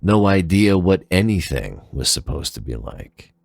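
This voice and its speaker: Male narrator for dramatic fan fiction: creaky, close dry sound, deliberate and measured. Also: low-pitched, sing-song, no room sound.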